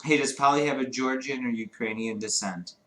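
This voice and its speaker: Man from Chicago, livestream co-host, loose profane chatter, average pitch. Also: a little fast, sharp tone, poor audio. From Chicago, United States. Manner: rambling, profane, laughs often. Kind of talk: casual chat. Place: home streaming studio.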